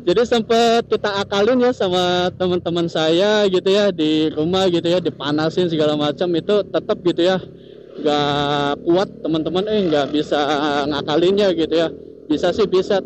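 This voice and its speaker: A man, chattily telling a story to viewers in a casual motovlog, with repeated tag phrases. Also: high voice. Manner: chatty, repetitive tag phrases, chuckles often. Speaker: motovlogger host. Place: outdoors, riding a motorcycle in traffic. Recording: muffled, loud vehicle and road noise.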